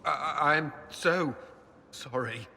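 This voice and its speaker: An actor voicing an earnest, flustered military commander in a video game, stiff, awkward and halting. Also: male voice, fairly high pitch, vocal fry, bright, forceful tone, big-room sound, a little hiss or hum, heavily acted. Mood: mortified.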